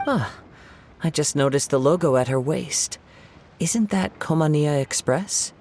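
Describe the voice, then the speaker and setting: A man voicing a gentle, poetic young male wanderer in a video game, soft, calm and politely measured. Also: fairly high pitch. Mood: polite, thoughtful. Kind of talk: animated video game voice acting.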